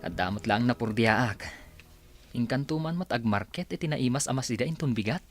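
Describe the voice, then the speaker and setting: A man's voice: mid-range voice, animated, a little fast, faint music behind, close to an everyday voice. A man playing an easygoing, stubbornly hopeful young husband in a radio drama, talking casually. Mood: dismissive, hopeful.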